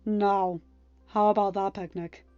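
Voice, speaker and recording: A woman's voice: mid-range voice, level tone, unhurried, mellow, clean tone, dry recording, soft background music, natural voice. Woman acting a young male king, courteous, decisive, formal, storybook dialogue, dry and slightly dull recording. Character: kind but firm young king, male.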